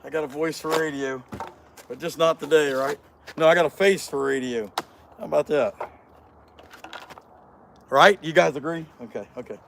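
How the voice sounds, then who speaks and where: A hoarse man hosting his carving channel, talking in a folksy, self-deprecating, joking way. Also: fairly high pitch, moderate pace, long pauses, a bit bright, slight background noise. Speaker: chainsaw carving channel host. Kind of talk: casual workshop vlog chat.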